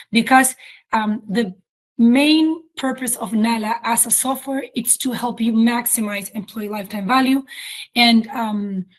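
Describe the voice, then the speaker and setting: Woman, Chilean accent, fairly high voice, webinar host, informal explanatory delivery, hesitant phrasing. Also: low-quality recording. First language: Spanish. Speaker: webinar host, company chief executive. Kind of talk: webinar presentation.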